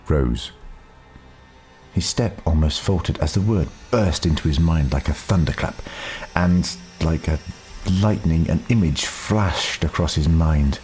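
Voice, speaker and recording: A man reading scripted story narration in a measured, deliberate way, with dramatic pauses. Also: low voice, darkish tone. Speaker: audiobook narrator.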